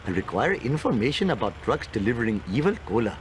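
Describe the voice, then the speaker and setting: A man's voice: mid-range voice, big pitch swings, quick pace, slight background noise, lightly acted. A male actor playing an earnest, polite Indian shopkeeper in a cartoon game, with an Indian accent and formal, stilted phrasing. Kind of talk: video game cartoon cutscene acting.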